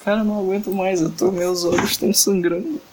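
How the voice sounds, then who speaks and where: A man's voice: sits high, fairly even intonation, fast talker, background noise. Tired, exasperated young man podcasting. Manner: exasperated, joking, complaining. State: tired late at night. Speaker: podcast host. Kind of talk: casual podcast chat, reading a fanfic aloud.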